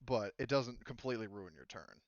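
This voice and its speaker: Male game master, casual and accommodating, smooth clear voice, close and dry. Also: medium pitch, lively intonation, a little fast, dry recording, highs cut off. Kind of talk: casual tabletop game refereeing.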